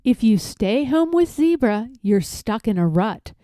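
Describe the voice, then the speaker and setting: A woman storyteller with a fairly high voice reads rhyming children's verse at an unhurried pace. She is expressive and stretches out important words, and the recording is close and dry. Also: no room sound. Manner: drawn-out emphasis on key words. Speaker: read-aloud storyteller. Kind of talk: expressive picture-book read-aloud in rhyme.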